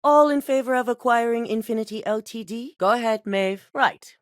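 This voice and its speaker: An actress voicing a composed, commanding female corporate CEO in a video game, speaking in a measured, authoritative, businesslike way. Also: on the high side, no echo, clean studio sound, lightly acted. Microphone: dry studio voice recording.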